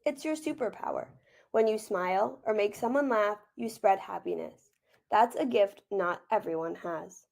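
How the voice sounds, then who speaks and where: A woman voicing a warm, wise grandfather in a picture book read-aloud, tender and reassuring. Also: mid-range voice, moderate pace, gravelly. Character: warm, wise, affectionate grandfather of a little boy.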